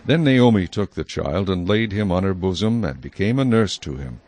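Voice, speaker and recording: A man narrating scripture from a script in a measured, solemn manner. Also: low voice, animated, a little mellow, a little hiss or hum.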